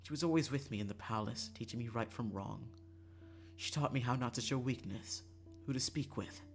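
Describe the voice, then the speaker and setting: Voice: mid-range voice, a little fast, soft background music, natural voice. Streamer acting cold, haughty young princess. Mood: cold, dismissive. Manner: formal, dismissive.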